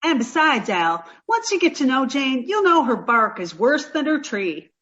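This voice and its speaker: A woman's voice: sits high, sing-song, normal speed, fairly bright tone, dull audio. An actress playing a sweet, naive, scatterbrained young girlfriend in 1940s radio sitcom style, doting and earnest.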